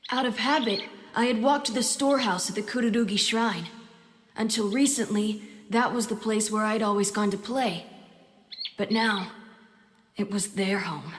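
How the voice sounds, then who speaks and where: A woman's voice: on the high side, moderate pace, lightly acted. Woman voicing a hot-tempered young boy, reverberant. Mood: reflective narration. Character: hot-tempered, indignant young Japanese boy narrating his memories. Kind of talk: audio drama acting with first-person narration. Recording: long reverb, music behind the voice. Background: background music.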